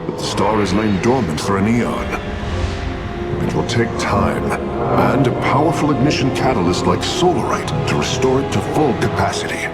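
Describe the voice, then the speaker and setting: A man's voice: fairly low pitch, expressive, normal speed, loud music behind the voice, lightly acted. A man playing a scholarly, dutiful alien engineer in a video game, speaking formally and precisely. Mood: matter-of-fact. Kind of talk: video game cutscene acting.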